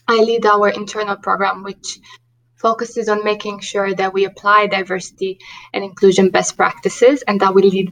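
A woman's voice: mid-range voice, fairly bright tone, a little hiss or hum, rough recording. A woman in her thirties from Romania with a Romanian accent, a guest on a podcast who talks earnestly about her work with many ums and restarts. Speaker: diversity and inclusion manager, guest. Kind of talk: podcast interview.

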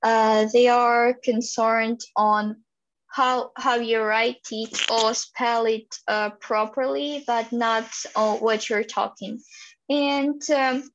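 Young Ukrainian-accented woman guest, hesitant and filler-heavy, unhurried, dull lo-fi audio. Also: a little high, fairly even intonation. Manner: hesitant, many fillers and restarts. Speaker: interview guest. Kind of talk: casual interview answers. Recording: dull lo-fi recording.

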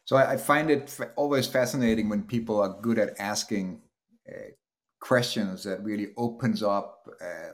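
A man hosting a podcast interview, welcoming a guest and asking questions with friendly, hesitant restarts. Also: on the low side. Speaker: podcast host.

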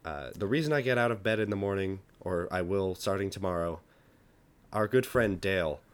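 A young man from the United States co-hosting a podcast in casual chat, joking and dry, with an animated delivery. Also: sits low, moderate pace. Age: young adult. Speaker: podcast co-host.

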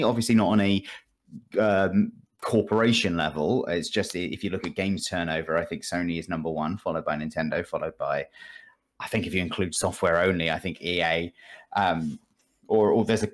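Low-pitched British man chatting. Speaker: gaming podcast host. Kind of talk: casual podcast discussion.

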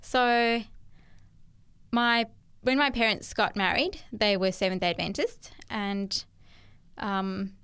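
Smooth-voiced South African woman. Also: mid-range voice, steady tone, slow pace, long pauses, a bit bright. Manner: thoughtful, hesitant with fillers. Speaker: guest, ministry worker. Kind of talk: conversational interview.

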